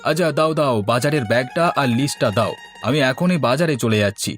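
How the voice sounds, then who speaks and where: A man's voice: medium pitch, lively intonation, quick pace, lightly acted. A man voicing a worrying, big-eating village husband and uncle in a cartoon. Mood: fretful, chatty. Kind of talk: cartoon dialogue. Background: instrumental music.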